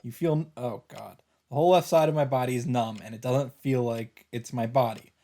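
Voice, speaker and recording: A man's voice: mid-range voice, normal speed, warm tone. A man hosting a let's play, reading visual novel narration aloud with casual asides. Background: game music.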